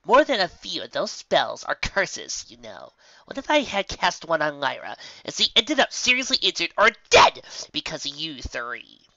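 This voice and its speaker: A man's voice: on the high side, huge pitch swings, moderate pace, vocal fry, sharp tone, no room sound, muffled recording, voice pushed up, full character voice. A man voicing a bossy, exasperated young female unicorn magician with a sarcastic, impatient manner in a story. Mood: sarcastic, confrontational.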